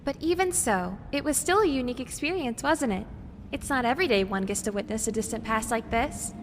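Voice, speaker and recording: A woman's voice: fairly high pitch, animated, brisk, a little hiss or hum, lightly acted. A woman playing an earnest, bookish young woman archaeologist in an audio drama, speaking politely, precisely and eager to explain.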